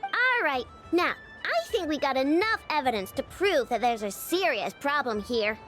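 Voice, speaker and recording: Game role: tiny indignant girl sidekick, squeaky, extremely high, exclamatory and highly animated. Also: moderate pace. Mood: indignant, accusing. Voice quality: squeaky, childlike. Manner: exclamatory, dramatic. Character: small excitable childlike girl companion, cute and indignant. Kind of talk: video game voice acting. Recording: game music behind the voice.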